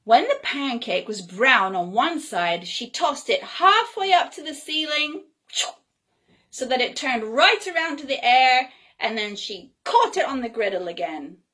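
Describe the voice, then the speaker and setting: Woman, children's storyteller, slow pace, lively sing-song pitch, whimsical, playful chatty asides. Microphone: phone.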